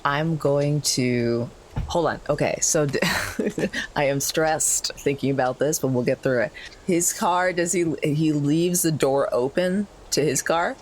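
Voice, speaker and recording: A man's voice: on the high side, measured pace, slight background noise. A man chatting casually as a tabletop game player, hesitant and thinking aloud. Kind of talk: casual chat.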